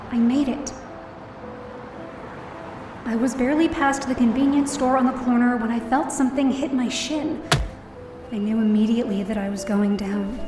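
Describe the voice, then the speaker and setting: Actress doing anxious young woman telling her own story, audio drama, self-deprecating, high pitched, echoey with music under it. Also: lightly acted. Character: anxious, downcast young woman, daughter of a hospitalized mother. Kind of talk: first-person audio drama narration. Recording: roomy, distant-sounding voice over music.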